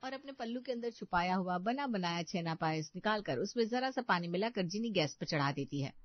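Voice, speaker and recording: A woman's voice: on the low side, expressive, brisk, no echo, lo-fi sound. A woman narrating a scripted family story.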